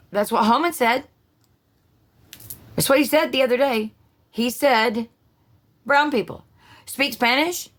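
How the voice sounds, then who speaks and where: Woman, blunt sarcastic monologue, cusses freely, stumbles and restarts. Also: high-pitched. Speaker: political commentary host. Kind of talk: casual opinion monologue.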